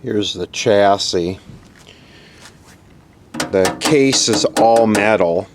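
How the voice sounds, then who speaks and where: A man narrating a casual, unscripted hands-on repair demonstration in a matter-of-fact, halting way, as host of his own video. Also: fairly low pitch. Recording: clearly audible background noise.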